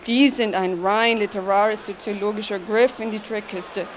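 A woman's voice: mid-range voice, steady tone, normal speed, a little hiss or hum, lightly acted. An actress playing a prudish, sanctimonious society lady in a satirical radio play, pompous and preachy, mixing English words in. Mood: self-righteous, earnest.

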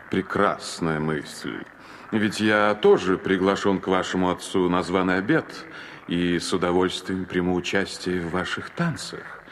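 A man's voice: low voice, steady tone, measured pace, a bit bright, slight background noise. A man playing a gallant, self-pleased young male stork in a fairy-tale audio play, flowery and pompously courteous.

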